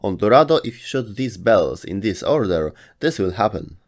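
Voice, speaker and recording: A man's voice: lowish voice, expressive, normal speed, noisy background. A man hosting and narrating a scripted gaming lore video.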